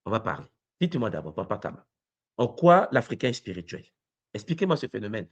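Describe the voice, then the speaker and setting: Animated man pressing questions. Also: fairly low pitch, quick pace. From Congo. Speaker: university lecturer. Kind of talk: debate-style discussion.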